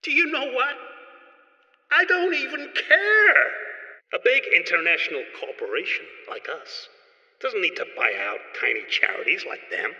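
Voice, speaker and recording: A man's voice: medium pitch, creaky, bright, forceful tone, lo-fi sound, heavily acted. A man playing a megalomaniac corporate villain in an audio play, with a pompous, theatrical delivery. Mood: scornful. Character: megalomaniac villain boss of a world-domination corporation. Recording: echoey hall reverb.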